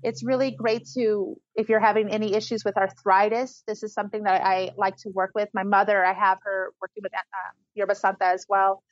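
A woman's voice: mid-range voice, level tone, fairly quick, hardly any pauses, no echo, muffled recording. A woman talking casually and eagerly, rambling with frequent restarts. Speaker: herbalist guest. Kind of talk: casual conversation.

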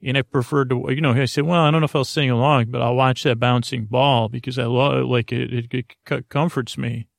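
Creaky voiced guy rambling a bedtime podcast, keeps tripping over his own sentences, super dry close sound. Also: mid-range voice, fairly even intonation, no echo. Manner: meandering, halting, self-interrupting. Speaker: podcast host.

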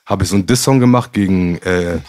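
Slangy man from Gambia, low voice. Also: fast talker, darkish tone. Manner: slangy, rambling storytelling with fillers. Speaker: podcast guest. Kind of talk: casual chat.

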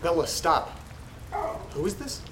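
A man hosting a horror audio drama, reading letters aloud in a quiet, flat, gravelly way. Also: on the high side, a little slow. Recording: roomy echo with loud rain noise. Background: rain and thunder.

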